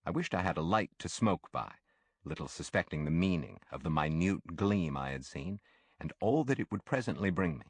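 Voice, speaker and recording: A man with an extremely deep voice narrates classic fiction in a dry, echo-free recording. His delivery is measured and expressive, in the manner of a practised audiobook storyteller reading scripted literary prose. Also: husky.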